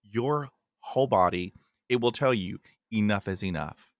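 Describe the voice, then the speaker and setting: A man's voice: fairly low pitch, unhurried, no echo, studio quality. A man in his forties hosting a podcast interview, talking earnestly with a stutter and repeating himself for emphasis. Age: 40s. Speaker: podcast host.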